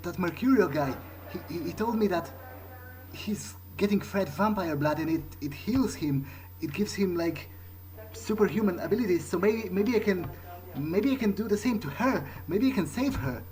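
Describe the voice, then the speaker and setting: A man's voice: high voice, expressive, moderate pace, a little mellow, rough recording. A man improvising in character during a game playthrough, hesitant, thinking aloud and repeating words. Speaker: gameplay host, role-playing his character. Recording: clear background noise.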